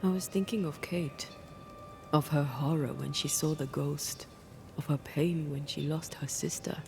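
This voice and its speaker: An actress playing a guarded, devoted female ghost hunter in a video game, with a hushed, deliberate, sorrowful delivery. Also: deep voice, fairly even intonation, gravelly. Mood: troubled, tender. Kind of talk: dramatic video game cutscene acting. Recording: music under the voice.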